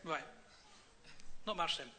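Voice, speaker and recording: Bright, jokey church event host. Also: male voice, slow pace. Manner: jokey, encouraging. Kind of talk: stage interviewing. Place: church hall stage.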